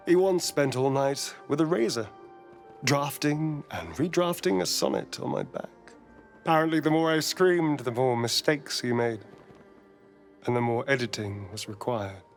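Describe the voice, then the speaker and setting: A vain vampire elf rogue for a game. The voice is highish and very animated, unhurried, sly and theatrical, with sardonic flirtation. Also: male voice, faint music behind. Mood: bitterly wry. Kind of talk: video game dialogue acting.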